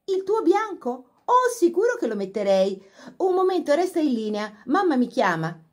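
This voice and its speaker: Lady putting on a bubbly high-pitched young girl, all breathless gushing, storybook reading. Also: moderate pace. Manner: breathless, excited chatter. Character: eager, gushing young upper-class girl.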